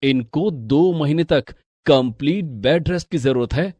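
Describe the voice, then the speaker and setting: A formal hospital doctor, played by a man, advising in a matter-of-fact way. The voice is slightly high for a man, with a very dry storybook sound. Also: measured pace, a little mellow, no echo.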